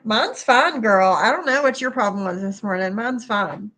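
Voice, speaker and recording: A woman's voice: mid-range voice, normal speed, a bit bright. A middle-aged woman with a stuffy nose, chatting casually on a home livestream and greeting viewers by name. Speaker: livestream host. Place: bedroom at home, then kitchen.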